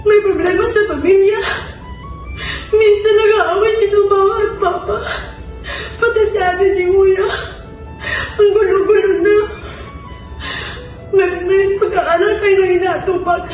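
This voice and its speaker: A high-pitched, anguished young female student played by a woman pours out a plaintive stage monologue. The sound is very echoey and far from the mic, with music behind it. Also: steady tone, a little slow, pauses often, darkish tone. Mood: tearful, desperate. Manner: emotional, plaintive delivery.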